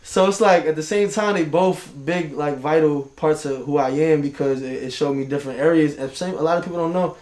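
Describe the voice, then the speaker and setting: A man's voice: a little high, fairly quick, few pauses, darkish tone. A young man from Turkey, raised in Michigan and New York, talking as a podcast guest in slangy, rambling casual speech. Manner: slangy, rambling, goes on tangents, laughs often. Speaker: guest, hip hop artist. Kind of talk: casual interview chat. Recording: roomy room echo.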